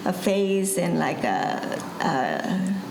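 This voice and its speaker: A woman's voice: on the low side, very animated, extremely slow, darkish tone. A woman in her forties from Guatemala and Los Angeles, casually telling her story as an interview guest. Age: 40s. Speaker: guest artist. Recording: roomy echo, clear background noise.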